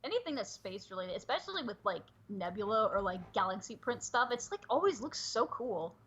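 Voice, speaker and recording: A woman's voice: medium pitch, big pitch swings, a little fast, fairly bright tone, husky. A woman chatting casually and earnestly as a guest on a livestream, over dull, lo-fi call audio. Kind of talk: casual chat.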